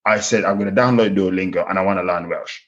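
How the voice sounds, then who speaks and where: A man's voice: sits low, fairly even intonation, quick pace. A man in his thirties with a Kenyan accent, speaking as a guest in a candid interview, earnest and reflective, often restarting his sentences. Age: 30s. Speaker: farm manager guest. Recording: slightly dull lo-fi sound.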